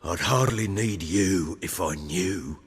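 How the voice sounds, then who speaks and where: An actor playing a middle-aged lord in a video game, a proud, hard-drinking, volatile man with a rough voice and a coarse, brooding, theatrical manner. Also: deep voice, takes it slowly, in a large hall, full character voice. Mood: wistful, defensive. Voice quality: gravelly, rough.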